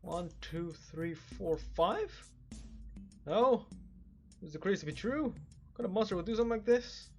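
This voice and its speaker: A woman's voice: medium pitch, animated, measured pace, long pauses, dark tone, faint music behind. A woman giving casual let's play commentary in an exasperated, sarcastic, sweary manner, on slightly muffled audio. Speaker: gaming video host.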